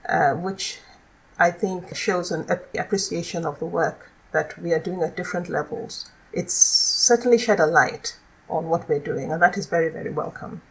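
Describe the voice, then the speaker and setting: A middle-aged woman with a Nigerian accent, a law lecturer explaining her work in a measured, articulate way as a podcast guest. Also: on the low side, low-quality recording. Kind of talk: podcast interview.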